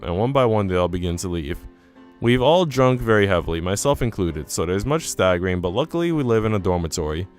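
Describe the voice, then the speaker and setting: A man's voice: low-pitched, clear, resonant tone. A man narrating a story from a visual novel, reading both the prose and the dialogue aloud.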